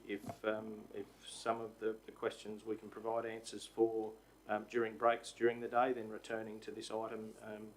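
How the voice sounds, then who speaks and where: A man, a council CEO, giving formal procedural advice in a halting way full of ums and restarts. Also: fairly low pitch, a little hiss or hum, low-quality recording. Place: council chamber.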